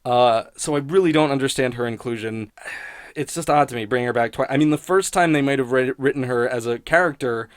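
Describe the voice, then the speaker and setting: A man's voice: mid-range voice, brisk, smooth, no echo, clean studio sound. A man giving a casual, rambling spoken review in a blunt, sweary, grumbling manner. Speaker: host of a TV criticism show.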